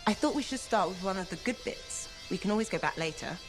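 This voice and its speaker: A woman voicing a gentle, thoughtful young scholar. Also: medium pitch, lively intonation, over music, close to an everyday voice. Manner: measured, gently explanatory.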